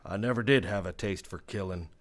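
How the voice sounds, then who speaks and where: An actor playing a weary, resolute Old West marshal in a radio western. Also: male voice, deep voice, a little fast, natural voice. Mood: resolute, bitter. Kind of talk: radio western acting and first-person narration.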